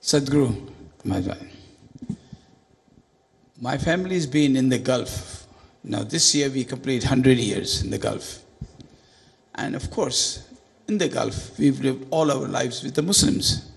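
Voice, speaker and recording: Gravelly elderly man questioning from the floor. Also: mid-range voice, lively intonation, takes it slowly. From Sindh, Pakistan. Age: 80s. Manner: earnest, emphatic, voice rising on key points. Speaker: audience member asking a question. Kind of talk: public Q&A question. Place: large conference hall. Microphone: handheld microphone.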